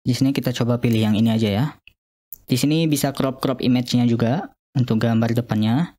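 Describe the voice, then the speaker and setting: A man's voice: medium pitch, fairly even intonation, a little fast, warm tone. A young man giving an informal, slightly hesitant walkthrough of an app as a student presenter. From Indonesia. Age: young adult. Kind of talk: informal screen-demo walkthrough.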